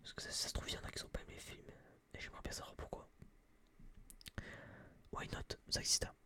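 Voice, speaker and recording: Whispering young Frenchman, close and breathy. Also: fast talker, bright, forceful tone. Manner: casual, slangy, rambling. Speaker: ASMR video creator. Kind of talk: whispered ASMR film review. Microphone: close binaural microphone.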